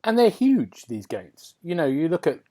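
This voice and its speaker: A man's voice: on the high side, sing-song, normal speed, mellow. Man chatting as podcast host. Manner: rambling, thinking aloud, seeking agreement with tag questions. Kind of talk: casual gaming podcast discussion.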